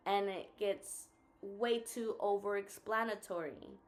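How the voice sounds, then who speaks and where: A woman's voice: mid-range voice, slow pace. A tired woman whose first language is Spanish, co-hosting a casual reflective chat and talking thoughtfully while searching for her words. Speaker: co-host.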